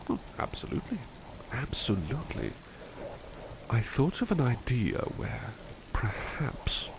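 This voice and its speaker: A man's voice: on the low side, exaggerated voice. A man playing a devoted, mild-mannered butler in an ASMR roleplay, speaking politely and formally, with hesitant, musing phrasing. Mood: eager, hesitant. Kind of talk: ASMR roleplay, personal attention. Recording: clearly noisy ambience behind voice.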